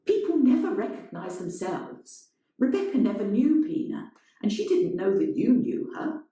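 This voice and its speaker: A woman's voice: medium pitch, big pitch swings, moderate pace, dark tone, far from the mic, voice pushed up, close to an everyday voice. A woman voicing a defiant woman theatre producer in a dramatised short story, pressing and challenging. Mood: persuading. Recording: strongly echoing, roomy sound.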